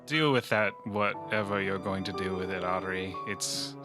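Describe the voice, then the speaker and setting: A man's voice: low voice, steady tone, normal speed, over music. A man playing a tabletop game on a podcast, improvising in character and narrating with a dry, resigned delivery.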